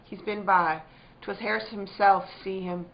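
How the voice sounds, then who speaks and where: A woman's voice: mid-range voice, steady tone, measured pace, gravelly. Woman playing a careworn, anxious country wife. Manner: plain country dialect, fretful and earnest. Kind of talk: theatrical radio-play acting. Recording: dull, noisy lo-fi recording.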